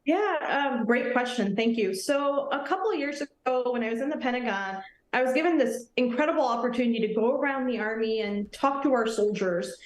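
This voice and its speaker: A woman's voice: on the high side, animated, fairly quick, echoey room, rough recording. A woman in her thirties from Nepal, an Army officer answering questions in an earnest, friendly way on a morning TV show. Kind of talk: morning TV interview.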